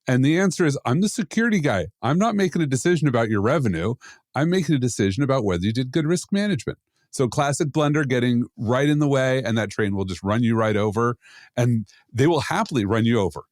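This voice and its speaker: A man's voice: medium pitch, expressive, fast, a little mellow, no room sound, clean studio sound. A man co-hosting a podcast, giving casual, opinionated commentary in a blunt, emphatic way. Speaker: podcast co-host, security expert.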